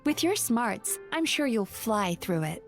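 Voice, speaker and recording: Anime game proprietress: composed spirit, fairly high voice, courteous and teasing, soft music behind. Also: female voice, very animated, measured pace. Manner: composed, polite, gently teasing. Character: poised, gently teasing female dream-spirit bathhouse manager. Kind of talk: anime-style video game acting. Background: background music.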